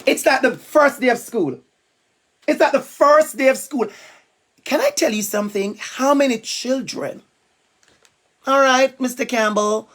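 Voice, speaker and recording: A man's voice: very high-pitched, a little slow, long pauses, fairly bright tone. A man with a Jamaican accent, from Jamaica, giving a playful, emphatic talk to young students as a school guest speaker. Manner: playful, emphatic, calls out to the kids.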